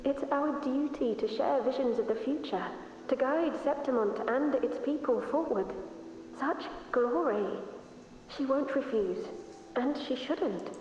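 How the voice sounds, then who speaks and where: A woman's voice: high-pitched, unhurried, a little mellow. An actress playing a solemn, devout young woman believer in a video game. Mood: reverent, insistent. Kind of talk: dramatic video game acting. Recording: muffled, noisy, very echoey hall.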